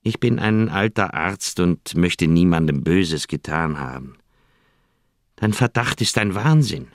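An actor playing a troubled, well-meaning old doctor in a radio crime drama, hesitant and evasive. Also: male voice, sits low, expressive, measured pace, dry recording, studio quality. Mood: reluctant, pleading. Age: older.

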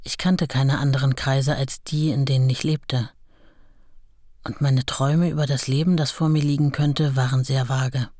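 A woman's voice: a bass voice, fairly even intonation, normal speed, mellow, no echo, studio quality. An actress playing a modest working-class woman recalling her youth in a radio play, in a plain, matter-of-fact way. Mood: wistful, matter-of-fact.

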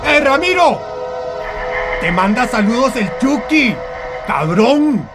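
An enraged gangster gunman in a radio drama. He yells in a very high, gravelly, swooping voice under loud music. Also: very animated, slow, heavily acted. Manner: yelling, cursing. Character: enraged male gangster gunman, wild and vengeful. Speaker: voice actor. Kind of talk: Spanish-language radio drama acting.

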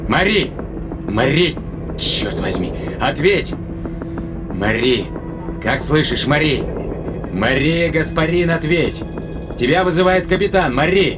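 Tense spaceship captain over loud music. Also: male voice. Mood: desperate. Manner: urgent, terse orders. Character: tense male spaceship captain, anxious and commanding. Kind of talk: audio drama acting. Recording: loud music and engine rumble behind.

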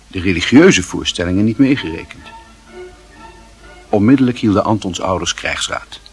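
The storyteller of a radio drama, telling it with dry irony in a measured, literary way. His voice is fairly low, with music behind it. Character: wry storyteller narrator. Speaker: narrator. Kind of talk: wry literary narration in a Dutch radio play.

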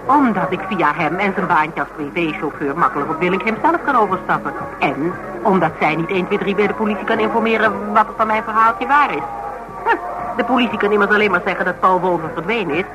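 An actress playing a sharp, scheming insurance investigator in a radio drama, teasing and talkative. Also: female voice, mid-range voice, animated, quick pace, over music, close to an everyday voice, low-quality recording. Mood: sly, persuasive.

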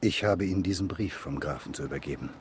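A man acts a dutiful messenger in a radio drama. The voice is low and the delivery terse. Clear ambient noise surrounds it. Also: quick pace, lightly acted. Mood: matter-of-fact. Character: brief, dutiful male messenger delivering a letter. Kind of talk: German radio drama acting.

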